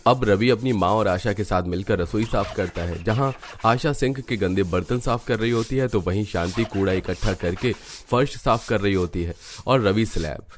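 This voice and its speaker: Low-voiced male cartoon narrator. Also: moderate pace, few pauses, a little hiss or hum.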